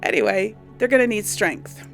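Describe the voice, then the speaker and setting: A woman's voice: medium pitch, normal speed, creaky. A woman hosting a casual game commentary, talking informally to her audience and thinking aloud as she rambles along. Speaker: gaming host. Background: music.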